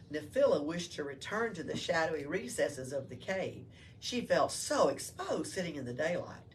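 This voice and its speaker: A woman reading a storybook aloud to children as a library storyteller. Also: deep voice. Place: indoors in a library. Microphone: distant room mic. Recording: distant mic with faint background noise.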